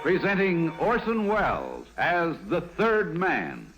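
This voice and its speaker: Male radio announcer, 1950s style, fairly high voice, very animated intonation, formal, dramatic, zither behind. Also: slow pace. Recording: vintage radio recording. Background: zither music.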